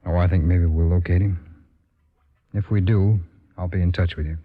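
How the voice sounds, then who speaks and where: An actor playing a steady, sympathetic police detective in an old-time radio drama, matter-of-fact but kindly. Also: male voice, low voice, normal speed, lightly acted. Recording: dull, lo-fi old radio recording.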